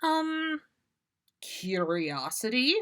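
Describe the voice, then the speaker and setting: A woman's voice: on the high side, big pitch swings, extremely slow, fairly bright tone, exaggerated voice. A woman voicing an eager, mischievous grown man who wheedles and plays innocent in a story. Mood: whining, pleading.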